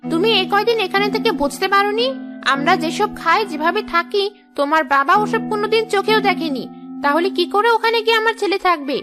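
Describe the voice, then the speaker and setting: A woman's voice: extremely high pitch, animated, fast talker, music in the background. A woman voicing a haughty, bossy mother-in-law in a cartoon. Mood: scornful, bossy. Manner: bossy, contemptuous. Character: haughty, scolding mother-in-law of a landlord household. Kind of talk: cartoon dialogue dub.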